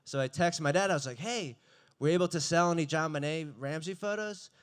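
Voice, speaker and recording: Young man doing deadpan stand-up. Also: on the high side, fairly quick, smooth. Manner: dry, deadpan delivery that breaks into laughs. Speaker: stand-up comedian. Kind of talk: stand-up comedy set.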